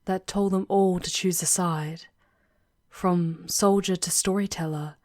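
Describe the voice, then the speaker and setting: A woman playing a charming, poised female demon guide in an intimate ASMR roleplay, speaking in a measured way with breathy, hushed touches. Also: on the low side. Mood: grave, hushed, then eerie, intimate. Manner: measured, deliberate, often dropping into breathy whispers.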